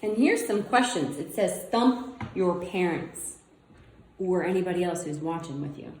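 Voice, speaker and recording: Female read-aloud presenter for kids' nonfiction, mellow and unhurried, simple wondering asides, roomy echoing sound. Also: fairly low pitch, lively intonation, slight background noise. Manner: simple child-directed phrasing, wondering aloud. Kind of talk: reading a children's nonfiction book aloud with casual asides.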